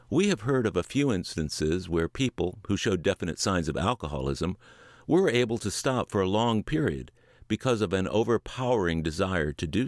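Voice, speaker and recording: A middle-aged man reading a book aloud word for word, measured and earnest, dropping low at the ends of sentences. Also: dry recording, clean studio sound. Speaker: audiobook reader.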